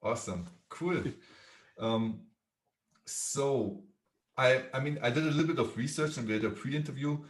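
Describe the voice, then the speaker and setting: A man with a German accent hosts a podcast interview. He speaks haltingly, with fillers and restarts. His voice is mid-pitched, and the room gives it a noticeable echo. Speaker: podcast host. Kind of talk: interview hosting. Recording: echoey room.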